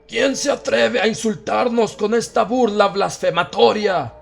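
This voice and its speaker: A man voicing a furious, imperious prince in a horror audiobook, hoarse and commanding, with a Latin American Spanish accent. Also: high voice, steady tone, unhurried, soft background music, voice pushed up, heavily acted. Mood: outraged fury. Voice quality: hoarse, shouting voice. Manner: commanding, outraged, theatrical.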